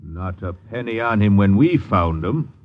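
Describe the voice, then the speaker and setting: An actor playing a folksy, chatty police sergeant in a 1950s radio drama. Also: male voice, on the low side, lively intonation, measured pace. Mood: matter-of-fact. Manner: chatty, questioning. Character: folksy, plain-spoken male police sergeant. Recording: dull vintage radio recording.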